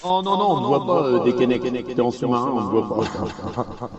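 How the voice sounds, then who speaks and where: A man's voice: medium pitch, lively intonation, normal speed, over a noisy crowd. A man chatting over CB radio with crude, mocking banter and frequent laughter. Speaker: CB radio caller. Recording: muffled CB radio with static.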